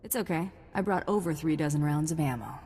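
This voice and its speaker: Brisk, cocky female bounty hunter. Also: low-pitched, expressive, warm tone, breathy edge, big-room sound. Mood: cool, wry. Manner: cool, glib one-liners. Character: confident wry female alien bounty hunter.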